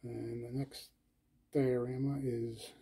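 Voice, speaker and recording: A man with a dark, gravelly, husky voice talks slowly through a walkthrough of his hobby room. His delivery is low-key and unhurried, with pauses and fillers between items. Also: little pitch movement. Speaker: collector giving a tour. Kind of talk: casual walkthrough narration.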